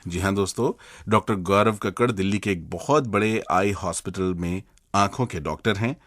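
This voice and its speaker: Low-voiced male radio host. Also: moderate pace, fairly bright tone, dry recording, studio quality. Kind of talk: scripted radio presenting.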